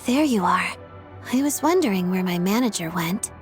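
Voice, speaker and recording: A woman's voice: mid-range voice, expressive, loud music behind the voice, close to an everyday voice. Woman playing a cool, reserved young ballet star. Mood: composed. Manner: cool, measured, understated. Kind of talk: video game cutscene acting.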